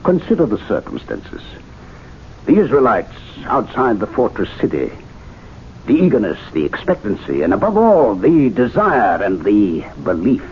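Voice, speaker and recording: A man's voice: on the low side, huge pitch swings, normal speed. An actor playing a learned club member who earnestly lectures his fellow members in a radio drama, recorded as a tinny old broadcast with faint hiss. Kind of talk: 1970s radio drama acting.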